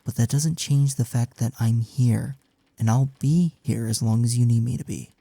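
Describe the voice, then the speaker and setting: A man playing a caring, frank young best friend in an intimate comfort audio roleplay, speaking in a soft, earnest, reassuring way. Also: mid-range voice, normal speed, creaky, no room sound. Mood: soothing, reassuring.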